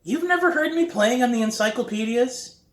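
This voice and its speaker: Man acting high, pleading, whiny preteen kid. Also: animated, normal speed, fairly bright tone. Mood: pleading, insistent. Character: eager, exasperated preteen kid who loves drumming. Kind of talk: acted dialogue in a children's book reading. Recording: roomy echo.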